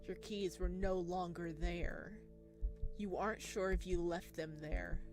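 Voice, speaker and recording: A woman's voice: lowish voice, fairly even intonation, a little slow. A woman playing a visual novel, reading its narration aloud and adding informal, giggly asides of her own. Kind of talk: game playthrough, reading aloud with chatty reactions. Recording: music behind the voice. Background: game music.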